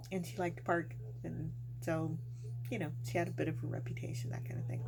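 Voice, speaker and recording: Low-voiced woman telling stories, noisy humming recording. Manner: chatty, folksy, colloquial phrasing. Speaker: host of a storytelling channel. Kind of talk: casual ghost storytelling to viewers. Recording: noisy home recording with clear hum.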